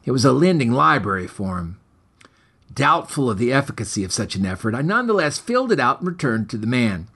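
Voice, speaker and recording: A man's voice: mid-range voice, sing-song, a little fast, creaky, sharp tone, husky. A man reading his own comic horror story in a mock-serious, formal and theatrical way. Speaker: author reading his own short story, podcast host. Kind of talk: scripted dramatic narration of a comic horror story.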